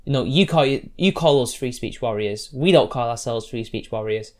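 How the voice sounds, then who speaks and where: A man's voice: medium pitch, normal speed, darkish tone. Sarcastic man rebutting online critics. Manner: sarcastic, combative; loose, rambling delivery with restarts. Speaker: YouTube commentator. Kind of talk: reaction commentary rebutting read-out posts.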